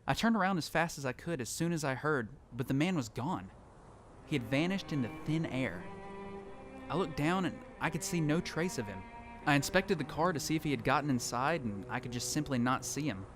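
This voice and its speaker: Lone survivor narrator, played by a man, slightly high voice, dramatic and earnest, over music, apocalyptic audio drama. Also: lively intonation, brisk, lightly acted. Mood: uneasy, panicked. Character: anxious male lone survivor narrating his apocalypse story. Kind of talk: dramatic first-person scripted narration.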